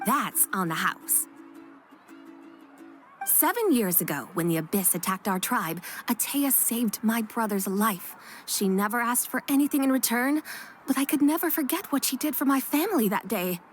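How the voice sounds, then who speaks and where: Casting: female village merchant for a game, acted by a woman, fairly high voice, lively intonation, wry yet kindhearted. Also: moderate pace, faint music behind, natural voice. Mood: sincere. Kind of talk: game voice acting.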